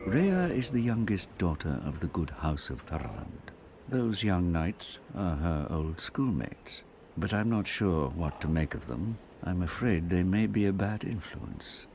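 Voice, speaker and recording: An actor playing a reserved, wary cleric in a video game, with a formal, measured manner. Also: male voice, deep voice, very animated, a little mellow, slight background noise, full character voice. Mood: reserved, courteous. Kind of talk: video game character dialogue.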